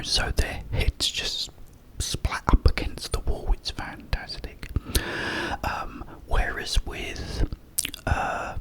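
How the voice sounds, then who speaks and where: Slow whispering man. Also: poor audio. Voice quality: breathy, unvoiced whisper. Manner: halting, short phrases with pauses. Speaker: ASMR content creator. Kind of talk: whispered ASMR ramble about video games. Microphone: close-up microphone.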